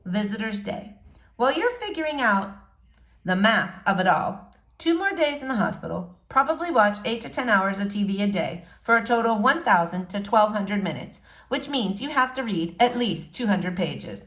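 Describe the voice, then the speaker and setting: A woman reading a novel aloud to young listeners in an expressive storybook style, pausing dramatically on key words. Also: medium pitch. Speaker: read-aloud presenter.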